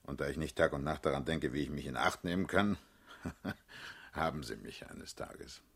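Very deep, husky, commanding party boss. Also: male voice, normal speed, fairly bright tone, heavily acted. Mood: wearily brooding. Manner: blunt, paternal, brusque. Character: world-weary, commanding male party leader, blunt and sardonic. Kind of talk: German radio drama acting.

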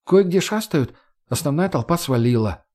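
A man's voice: a little high, expressive, moderate pace, dark tone, pitched above the performer's own voice, lightly acted. A man voicing a brash, sarcastic, foul-mouthed young woman in an audiobook, slangy and argumentative. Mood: mocking. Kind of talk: audiobook character voice.

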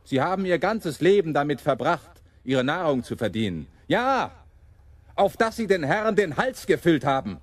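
Radio-play rebel preacher: impassioned champion of the poor, higher-pitched, very animated, oratorical. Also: male voice, moderate pace, no room sound. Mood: impassioned, bitter. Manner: oratorical, mocking the powerful. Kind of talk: German radio play monologue.

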